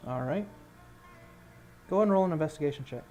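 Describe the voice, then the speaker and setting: A man narrating an improvised tabletop game as game master, halting as he searches for words. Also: on the high side, lively intonation, darkish tone, faint music behind.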